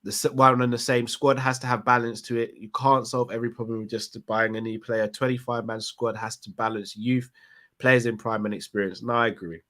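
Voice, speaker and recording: Male co-host on a live fan call-in, casual, reads viewer comments aloud, mid-pitched voice. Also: little pitch movement, fairly quick, a bit bright. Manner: reads out viewer messages, laughs often.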